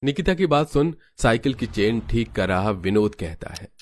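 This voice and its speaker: A man's voice: medium pitch, expressive, moderate pace, slight background noise. A man narrating a scripted family cartoon story.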